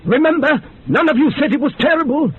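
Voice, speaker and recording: An actor playing an obsessed, overwrought scientist in a radio horror drama, excitable, urgent and imperious as he commands his subjects. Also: extremely high pitch, quick pace, narrow, tinny audio. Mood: feverish urging.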